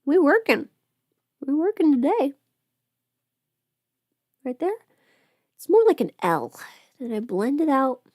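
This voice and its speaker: A woman's voice: high voice, big pitch swings, slow, pauses often, a little mellow, dry recording. A woman casually chatting on a livestream while doing her makeup, playful and absent-minded, muttering to herself in a close-miked voice. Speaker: livestream host, voice performer.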